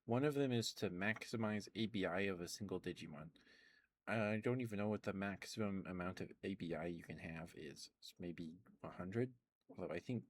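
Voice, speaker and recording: A man streaming an ASMR session, rambling softly and chatting with his viewers in a relaxed way. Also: low-pitched, slight background noise. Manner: soft rambling, talks to chat.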